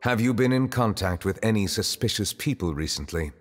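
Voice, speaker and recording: Really deep calm judge guy, formal n stern, video game, big reverb. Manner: formal, measured, stern questioning. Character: composed, grave male chief justice, formal and calm. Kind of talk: scripted video game dialogue. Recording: long hall reverb, music behind.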